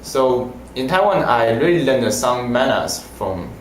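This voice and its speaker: A man's voice: medium pitch, expressive, slight background noise. Young Mandarin-native man, echoey room. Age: young adult. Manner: halting, simple phrasing. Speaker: language student. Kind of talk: casual interview. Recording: roomy echo.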